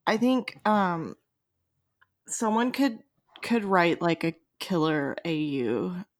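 A woman's voice: fairly low pitch, slow. A woman hosting a casual fan podcast, blunt and teasing, acting out imagined lines as she chats. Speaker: podcast host. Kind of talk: casual, joking fan chat.

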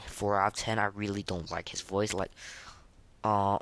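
A man's voice: lowish voice, level tone, normal speed, fairly bright tone. A young man hosting a casual, unscripted podcast of game ratings in a hesitant, rambling, informal way. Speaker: podcast host.

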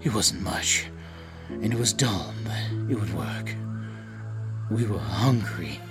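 An actor playing a desperate, protective father in a post-apocalyptic audio drama, narrating tensely and deliberately in the first person. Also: on the low side, animated, over music. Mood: wary, tense.

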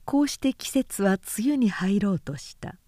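A woman narrating a literary story in a composed, measured and carefully phrased way. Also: mid-range voice, expressive, dark tone, no echo, clean studio sound. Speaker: narrator and reader.

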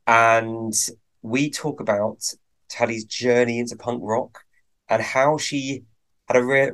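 A man co-hosting a podcast, chatting casually with a hesitant, rambling manner and many fillers. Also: lowish voice. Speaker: podcast co-host.

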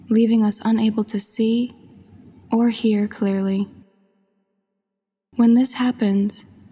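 Slow, breathy woman leading meditation, long reverb. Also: a little high. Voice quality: soft, breathy. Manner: soothing, gentle, unhurried. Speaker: guided meditation host. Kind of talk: guided meditation narration.

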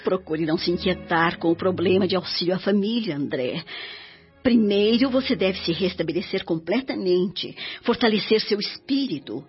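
A woman playing a serene, devoted older mother spirit in a radio drama, speaking in a solemn, measured, instructive way. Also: lowish voice, expressive, slightly rough. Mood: soothing, guiding. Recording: dull radio drama track with music.

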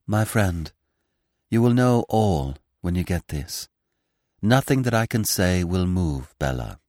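A man's voice: low voice, sing-song, takes it slowly, darkish tone, no echo, heavily acted. A male narrator takes the part of a young woman confessing in a farewell letter. The audiobook reading is earnest and remorseful, recorded very dry. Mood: weary, regretful.

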